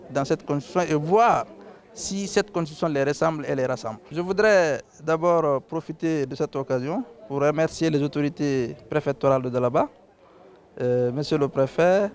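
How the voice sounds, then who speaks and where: Measured man giving a public speech. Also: a little high, darkish tone. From Guinea. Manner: measured, with frequent hesitations. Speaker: legislator on a tour.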